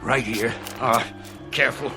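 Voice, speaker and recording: A man's voice: medium pitch, steady tone, a little slow, creaky, music in the background. An actor playing a worried, loyal cornerman in a radio drama, pleading and protective in plain slangy speech.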